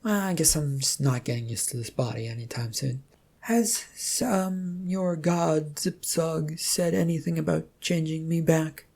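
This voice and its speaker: A narrator voicing a scatterbrained, easily excited character who was magically turned female and talks in a muddled, eager way. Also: mid-range voice, a little slow, husky. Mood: sheepish.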